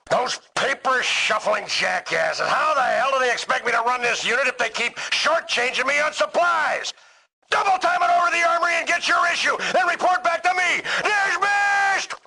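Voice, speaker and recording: An actor playing a furious, bullying army drill sergeant in a video game, barking orders with contempt and sarcasm. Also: male voice, very high-pitched, vocal fry, pushed and bright, full character voice, low-quality recording. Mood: scornful, sarcastic.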